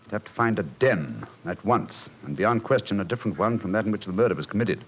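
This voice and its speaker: A shrewd gentleman detective played by a male actor speaks in a low voice with theatrical swings of intonation. He is precise, brisk and dryly amused, in the manner of 1940s radio drama, on a muffled old recording. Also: lively intonation, slight background noise, natural voice. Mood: confident, explaining.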